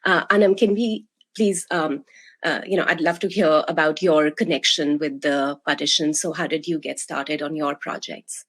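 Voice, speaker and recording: A woman moderating a panel, her voice a little lower than most. She is friendly and encouraging, linking her guests' answers with plenty of ums and you-knows. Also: steady tone, moderate pace, fairly bright tone, slightly rough. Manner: friendly, encouraging, many fillers.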